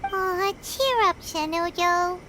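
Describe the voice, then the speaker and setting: A woman's voice: extremely high pitch, slow pace, slight background noise, heavily acted. A woman voicing a tiny, chirpy, childlike and bold fairy companion in a video game. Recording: loud game music behind the voice.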